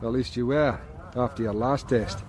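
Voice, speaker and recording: An actor playing a police detective in a radio drama, calm and dry as he questions a witness. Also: medium pitch, normal speed, natural voice. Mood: probing. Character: Scottish police detective, patient and probing. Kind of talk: BBC radio drama acting.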